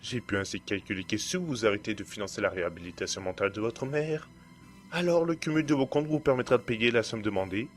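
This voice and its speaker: A man playing a cold, menacing blackmailer in an audio drama, speaking in a smooth, condescending and formal way. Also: lowish voice, fast talker, faint music behind, lightly acted. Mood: coldly threatening. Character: cold, menacing male blackmailer and hacker, smugly in control. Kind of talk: amateur audio drama acting.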